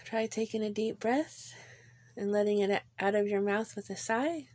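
A woman leading a guided visualization in a gentle, informal way, pausing between short phrases. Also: medium pitch. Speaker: guided meditation leader. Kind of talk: guided meditation, visualization narration.

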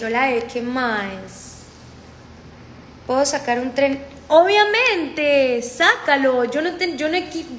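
Paisa woman, 20s, livestream host, slightly high voice, repeats herself, roomy phone sound. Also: fairly bright tone, a little hiss or hum. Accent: Colombian paisa. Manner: chatty, repetitive, mixes in English. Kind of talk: casual livestream chat, reading comments aloud. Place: room indoors. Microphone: phone held close.

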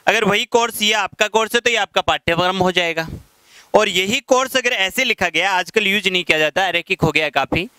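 A man in his thirties with a Rajasthani accent, teaching English vocabulary in a classroom lecture and slowing down to read out English example sentences. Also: high voice, pushed and bright, clean tone, no echo, rough recording. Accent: Rajasthani Hindi. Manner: explains patiently, slows down to read English example sentences aloud. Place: classroom in front of a whiteboard. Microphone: headset mic.

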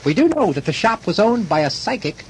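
An actor playing an earnest documentary narrator in a radio drama, telling the story deliberately. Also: male voice, high-pitched, sing-song, slight background noise. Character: earnest documentary narrator reconstructing a man's fate.

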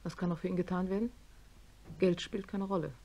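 A woman's voice: fairly low pitch, steady tone, brisk, dark tone, a little hiss or hum, close to an everyday voice. An actress playing an industrialist's younger wife in a radio drama, composed, direct and ladylike. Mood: anxious. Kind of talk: German radio drama acting.

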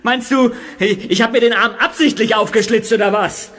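A man's voice: sits high, moderate pace, a bit bright. A man voicing an injured, exasperated teenage boy in an audio drama. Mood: hurt. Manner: strained, exasperated.

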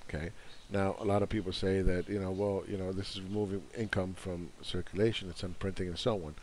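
A man's voice: sits low, little pitch movement, fairly quick, a little mellow, slight background noise. A man explaining at a whiteboard in a patient lecture, checking in with his listeners as he goes. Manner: patient, step-by-step, checks in with "okay". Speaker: market analyst explaining at a whiteboard.

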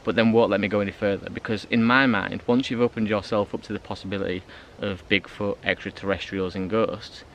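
A man's voice: lowish voice, steady tone, a little fast, hardly any pauses, a bit bright, a little hiss or hum. Chatty British man vlogging in woods. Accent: British English. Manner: chatty, rambling with fillers and restarts. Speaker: bushcraft vlogger. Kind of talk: casual talk to camera. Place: outdoors in a pine forest. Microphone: handheld camera at arm's length. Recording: background music behind the voice.

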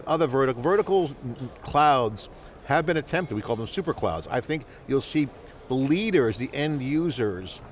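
A man's voice: medium pitch, animated, normal speed, slight background noise. A man in his fifties hosting a live conference interview, talking in run-on, enthusiastic patter on a headset mic. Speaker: host. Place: convention hall floor.

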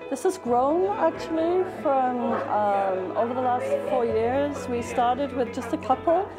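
An older woman describes an art showcase in a casual interview, pausing often with "um." Her voice is somewhat high, picked up on a lapel mic in a gallery. A music bed runs clearly beneath. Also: lively intonation, low-quality recording. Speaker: refugee charity organizer. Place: indoor gallery room.

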